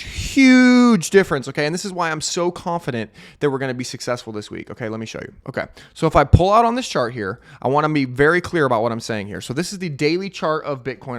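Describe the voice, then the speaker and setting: A man with a slightly sick, nasal voice walks through trading charts in a hyped, sarcastic way. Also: medium pitch. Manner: hyped, sarcastic, fast-talking. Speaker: crypto YouTuber. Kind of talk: excited trading chart walkthrough.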